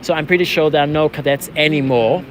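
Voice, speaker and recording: A man's voice: on the high side, fast. A man from Switzerland, a non-native English speaker, chatting casually and conversationally as he vlogs out on a street. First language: not English, learned English after moving to England. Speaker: vlogger, protester. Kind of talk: casual chat. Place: outdoors on a city street at a protest. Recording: outdoor street noise with traffic.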